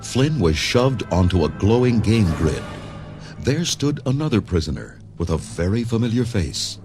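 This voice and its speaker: A man's voice: fairly low pitch, big pitch swings, normal speed, music in the background. A man narrating a read-along adventure story in a dramatic, scripted style.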